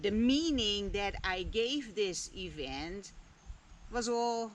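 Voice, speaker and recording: A woman whose first language is Dutch talks very slowly and deliberately, letting long pauses hang between phrases. She muses aloud in a candid, personal way, and a faint hum of room ambience sits behind her. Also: medium pitch, lively intonation. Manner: candid, drops casual swearing. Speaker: spiritual vlogger. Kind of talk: reflective personal talk.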